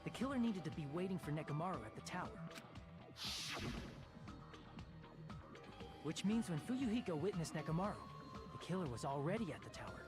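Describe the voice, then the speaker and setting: A forceful teenage boy in an anime mystery game. His voice is high and animated, and he lays out deductions insistently with music behind him. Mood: intent. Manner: deliberate, insistent, explanatory. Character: earnest, forceful teenage boy reasoning out a crime. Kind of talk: anime video game voice acting.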